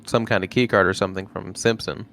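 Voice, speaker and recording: A man's voice: low-pitched, fairly even intonation, quick pace, a bit bright. A man chatting casually and jokingly as a player in a tabletop game. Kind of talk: casual chat during a game.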